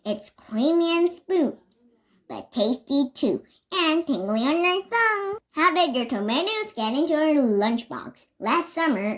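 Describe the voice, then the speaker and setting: A child reads a storybook aloud in a high voice that swings up and down in pitch. The delivery is slow and careful, slightly halting, with words given deliberate emphasis. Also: a bit bright. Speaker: reader. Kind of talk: reading a children's picture book aloud.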